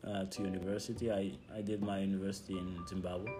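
A young Congolese man speaking English as a second language, Swahili his first, talking softly in a casual interview with frequent restarts. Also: low-pitched, little pitch movement. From Democratic Republic of the Congo. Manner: soft-spoken, halting, with frequent restarts and laughs. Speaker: missionary team member, guest. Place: house in a neighbourhood. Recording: music in the background.

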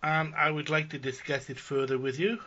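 A man with a Welsh accent making a prank phone call, deadpan and mock-earnest about absurd plans. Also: on the high side, moderate pace. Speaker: prank caller. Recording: dull lo-fi audio.

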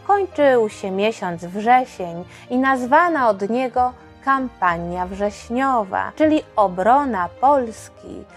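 A woman host narrating a history story for children in a colloquial, expressive way. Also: a little high, slow, hardly any pauses. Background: background music.

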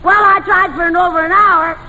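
A woman's voice: very high voice, steady tone, a little fast, bright, forceful tone, highs cut off, full character voice. An actress playing a chatty, dim-witted female quiz panelist, naive and oblivious, in 1940s radio comedy style. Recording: echoey hall, faintly noisy old radio recording.

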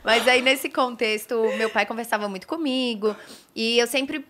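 A woman's voice: on the high side, moderate pace, a bit bright. A young woman with a Brazilian accent and a pulled Brasília r, telling stories casually and informally as an interview guest. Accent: Brazilian, with strong Brasília r. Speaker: guest, fashion brand founder. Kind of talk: casual conversational storytelling.

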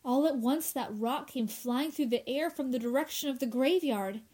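A woman's voice: high voice, moderate pace, a little mellow. A woman playing an anxious, insistent young working woman in a radio horror drama, with an earnest, dramatic delivery. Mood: worried, pleading.

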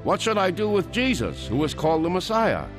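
A man's voice: high voice, big pitch swings, brisk, over music, voice pushed up. A man playing an exasperated Roman governor. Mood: demanding. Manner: questioning, insistent. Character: exasperated Roman governor, male, middle-aged. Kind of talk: dramatized scripture reading.

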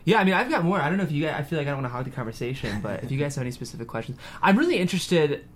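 A man's voice: on the high side, very animated, rapid-fire, slight background noise. An American man hosting a casual discussion in a chatty, enthusiastic way. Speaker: host. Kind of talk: casual chat.

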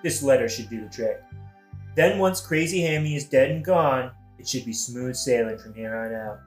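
A blunt, colloquial king acted by a man, dry and scheming, in a comic audio play. His mid-pitched voice sits in a roomy space over faint music. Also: expressive, normal speed, close to an everyday voice. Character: exasperated, scheming male king and stepfather. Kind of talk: modern-language satirical audio play acting.

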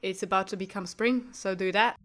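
A young woman co-hosting a podcast, slightly nasal from a cold. She talks wryly and pokes fun at herself, relaxed and conversational. Her voice stays in a typical middle range. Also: mid-range voice, a little fast. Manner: wry, self-deprecating, easygoing. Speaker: podcast co-host. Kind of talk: casual chat.

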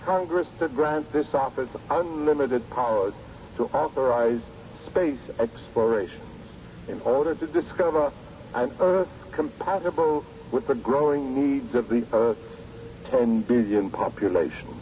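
An actor playing a grave American president giving a deliberate, formal broadcast address in an old-time radio drama. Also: male voice, mid-range voice, darkish tone, lightly acted. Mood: solemn. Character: solemn male American president addressing a doomed nation. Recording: dull, noisy old radio recording.

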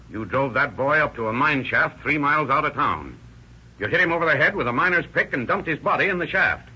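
A man's voice: mid-range voice, bright, forceful tone, slightly rough, exaggerated voice. A man playing a shrewd sheriff who questions a murder suspect step by step, in a 1930s radio drama with a muffled old recording sound. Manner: firm, methodical questioning.

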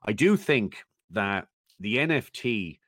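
A man giving considered opinions as a guest in a conversational interview, thoughtful and measured, often pausing as he goes. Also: fairly low pitch, sing-song, fairly bright tone, dry recording, clean studio sound. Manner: thoughtful, measured, halting with pauses. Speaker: interview guest, technology commentator.

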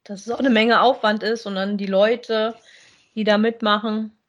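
A woman's voice: medium pitch, level tone, normal speed, dull audio. Woman co-hosting a casual podcast, mid-range voice. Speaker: podcast co-host.

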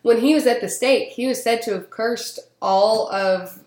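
A woman's voice: fairly high pitch, a little slow, a little mellow. Chatty woman host in a roomy room. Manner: chatty, laughs often, trips over words. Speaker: podcast host. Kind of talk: casual chat, telling a history story. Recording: roomy echo.

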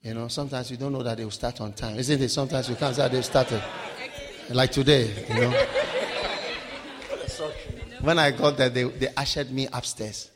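A man from Africa preaching a sermon, swinging between shouted lines and low, quiet asides. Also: fairly even intonation, moderate pace, pauses often, crowd noise. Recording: live sermon with long hall reverb.

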